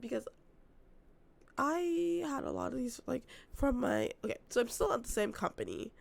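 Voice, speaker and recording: Vlogger, young woman, hoarse with a very gravelly fry, chatty and rambling, off-the-cuff talk. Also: on the high side, wildly swooping pitch, moderate pace. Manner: rambling, filler-heavy, self-described yappy. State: losing her voice, hoarse. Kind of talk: casual unscripted vlog chat. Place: apartment.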